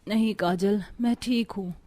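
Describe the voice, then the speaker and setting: A woman's voice: mid-range voice, fairly even intonation, measured pace, mellow, no room sound, lightly acted. A woman voicing a meek, sleepless young village daughter-in-law in a cartoon story, sounding plaintive. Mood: reassuring. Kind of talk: cartoon story character acting.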